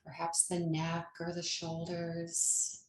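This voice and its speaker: Female meditation guide, lower-pitched and very slow, gentle and soothing, in a roomy, echoing space. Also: monotone, slight background noise. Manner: gentle, unhurried, soothing instruction with long pauses. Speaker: yoga nidra meditation teacher.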